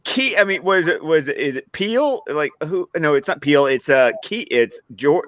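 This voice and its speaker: A man chatting casually and excitably as a panelist on a fan livestream. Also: fairly high pitch, moderate pace. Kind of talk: casual excitable group chat about shows.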